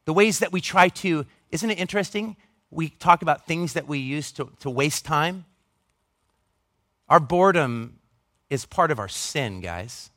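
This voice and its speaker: Male pastor, forties, fairly high register, conversational and emphatic preaching with self-mocking humor, close dry recording. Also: expressive, measured pace, a bit bright, no room sound. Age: 40s. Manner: earnest, emphatic, with self-deprecating humor and asides. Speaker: pastor preaching to his church. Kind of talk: conversational sermon.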